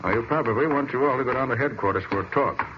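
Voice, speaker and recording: A man playing a brisk, commanding private detective in an old-time radio mystery, speaking in a clipped, decisive way. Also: medium pitch, lightly acted. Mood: firm, businesslike. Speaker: actor in a radio detective drama. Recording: muffled, noisy vintage radio recording.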